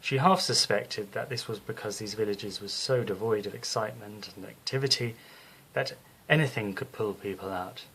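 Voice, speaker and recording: A man narrating a scripted bedtime story as the storyteller, in a measured, descriptive way. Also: on the low side, a bit bright, a little hiss or hum, low-quality recording.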